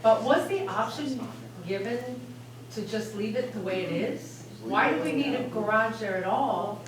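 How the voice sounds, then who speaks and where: A resident woman asks a string of skeptical questions at a meeting. Her voice is mid-pitched. She sounds distant in a very echoey hall, with a crowd around her. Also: lively intonation, a little slow. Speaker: resident commenting. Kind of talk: public comment at a meeting. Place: public meeting room, indoors.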